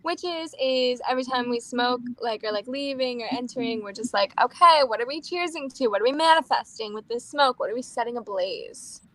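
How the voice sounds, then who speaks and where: A woman's voice: fairly high pitch, expressive, fairly quick, hardly any pauses, fairly bright tone, poor audio. A woman co-hosting a casual livestream, eager and upbeat, on a webcam call. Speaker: co-host of a witchcraft livestream. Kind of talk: casual group chat. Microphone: webcam on a video call.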